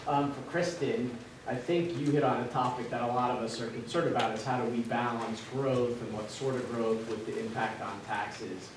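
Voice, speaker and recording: A man's voice: mid-range voice, normal speed, noisy background. A man at a town meeting politely asking a candidate a direct question. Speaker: audience member asking questions. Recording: very echoey hall, distant mic.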